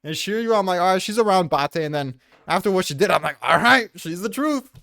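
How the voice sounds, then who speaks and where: Man, rapid speech, slightly high pitch, casual debate, slangy, swearing, dry recording. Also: animated, creaky, pushed and bright, no echo. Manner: slangy, profane. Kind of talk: casual debate about a manga tier list.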